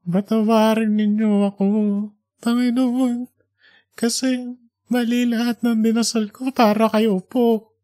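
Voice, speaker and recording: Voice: medium pitch, level tone, unhurried, mellow, pitched above the performer's own voice, close to an everyday voice. A performer voicing a very old, devout father, tender and remorseful, with an emotional, pleading manner. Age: elderly.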